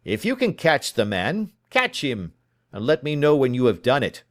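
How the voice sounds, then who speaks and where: A man voicing a shifty middle-aged man caught in a deceit, talking loud and defensive. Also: mid-range voice, huge pitch swings, normal speed, no room sound, studio quality. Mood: indignant.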